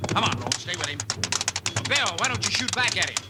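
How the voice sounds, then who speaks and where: Loud music and clopping hooves run behind an excitable cowboy partner. He calls out urgently in a high voice, in the manner of an old radio Western. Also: fairly quick. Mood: eager. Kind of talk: 1950s Western radio acting. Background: loud music, horse hooves.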